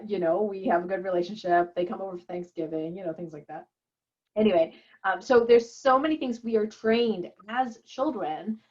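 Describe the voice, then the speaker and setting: A woman's voice: fairly low pitch, lo-fi sound. A woman whose first language is Chinese, giving a casual interview as a guest in a chatty, digressive way. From Canada. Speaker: interview guest, physician and author.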